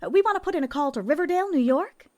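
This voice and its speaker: An actress playing a loyal friend in an old-time radio drama, speaking in a brisk, soothing way. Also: female voice, high-pitched, animated, darkish tone. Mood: businesslike. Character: loyal young woman, caring friend, practical.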